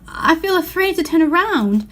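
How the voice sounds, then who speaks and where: A woman acts out an anxious storybook schoolgirl, pitched high. She is timid and hesitant, forever questioning in a worried way. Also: animated, normal speed. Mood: afraid.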